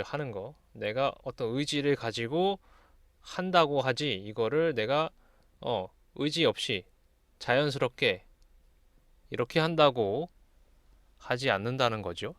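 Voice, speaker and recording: A man lectures in a voice of average pitch. He reads passages aloud and then explains them in a measured, teacherly manner. The sound is dry, with very little room in it. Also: a bit bright, no echo. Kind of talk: explanatory lesson, reading classical texts aloud and commenting.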